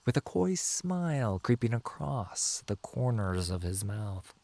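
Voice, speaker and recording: A man narrating a scripted fantasy story in a theatrical, measured and emphatic way. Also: fairly low pitch, big pitch swings, gravelly, warm tone. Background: music.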